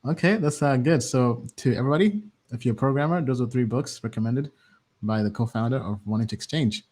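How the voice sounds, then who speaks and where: A man's voice: medium pitch, fairly quick, a little mellow, slightly rough. A man hosting an interview show, asking questions casually and repeating answers back.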